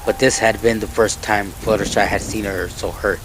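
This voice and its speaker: Casting: man with a fairly low voice to narrate fan fiction over a music bed. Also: fairly even intonation, normal speed, rough recording. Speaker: story narrator.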